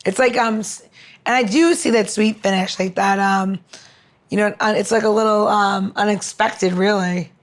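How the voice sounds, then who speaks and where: A woman's voice: mid-range voice, steady tone, moderate pace. A woman co-hosting a podcast in casual chat, speaking in an informal, unfiltered, slangy way. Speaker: podcast co-host.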